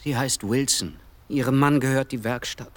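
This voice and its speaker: An actor playing a reserved, wary young gentleman in a radio play, terse and guarded. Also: mid-range voice, steady tone, moderate pace, no room sound, lightly acted. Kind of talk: naturalistic radio play acting.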